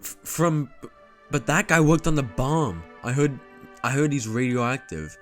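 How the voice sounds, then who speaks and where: A man's voice: mid-range voice, expressive, measured pace, a bit bright, soft background music. A man voicing a gullible, fearful teenage tough in a motion comic, stammering and slangy. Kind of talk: motion comic character acting.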